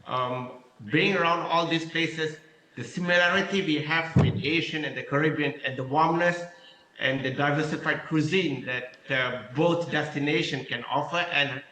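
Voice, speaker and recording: A man's voice: fairly high pitch, normal speed, bright, forceful tone. Polite man on an echoey call. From Malaysia, raised in Singapore. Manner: polite, formal, brief answers. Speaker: hotel general manager, interview guest. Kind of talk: remote interview via video call. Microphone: video call.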